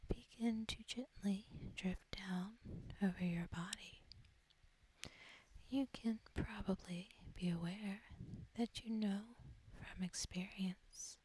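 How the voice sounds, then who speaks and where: A woman softly whispering a guided sleep hypnosis in a breathy, husky voice, gentle and soothing, with long deliberate pauses. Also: medium pitch, low-quality recording. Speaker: ASMR host guiding sleep hypnosis. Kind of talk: soft-spoken ASMR guided relaxation.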